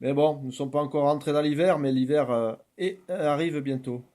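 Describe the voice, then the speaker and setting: Higher-pitched, mellow man on radio. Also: steady tone, normal speed. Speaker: amateur radio operator. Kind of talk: radio contact chat with procedural call-outs. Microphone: radio's original microphone.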